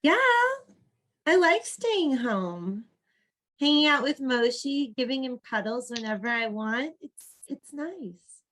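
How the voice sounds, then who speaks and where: A woman's voice: a little high, animated, slow pace, a bit bright, exaggerated voice. A woman playing a perky, earnest young homebody in a comedic play reading, with emphatic girlish slang. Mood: earnest, content.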